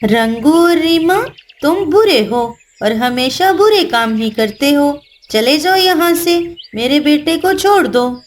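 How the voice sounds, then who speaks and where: A woman's voice: sits high, lively intonation, takes it slowly, slight background noise. A woman voicing a caring, protective mother bird in a children's cartoon, motherly and firm. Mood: scolding. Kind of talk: Hindi children's cartoon voice acting.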